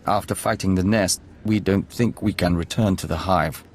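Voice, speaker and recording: Low, courteous hive-bonded diplomat. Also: male voice, steady tone, moderate pace, darkish tone, a little hiss or hum. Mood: serene, grateful. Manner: formal, courteous, mystical phrasing. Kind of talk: scripted video game dialogue.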